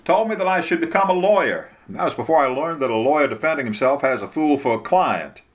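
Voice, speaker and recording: A man's voice: on the high side, lively intonation, quick pace, pitched above the performer's own voice, lightly acted. A man reading a story, voicing a folksy, blunt Southern lawyer who talks in an emphatic, colloquial way. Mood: wry.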